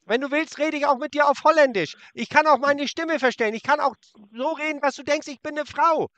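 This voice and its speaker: A man's voice: extremely high pitch, fairly quick, fairly bright tone, no echo, muffled recording. A man on a prank call, mocking and insulting a scammer and talking over him. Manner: taunting, insulting, talks over the other. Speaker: prank caller baiting a scammer.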